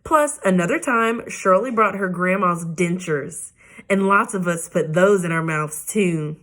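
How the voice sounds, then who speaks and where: A woman's voice: on the low side, measured pace, a bit bright. A woman voicing a spirited, proud little schoolgirl in a children's book read aloud, whiny and hollering. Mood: whining. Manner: whiny, hollering, dragging words out.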